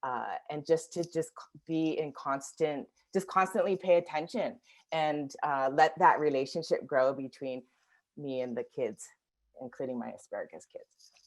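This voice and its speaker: A woman from Washington, D.C., co-presenting in an online discussion, speaking reflectively and haltingly with many fillers. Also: low voice. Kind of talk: webinar discussion.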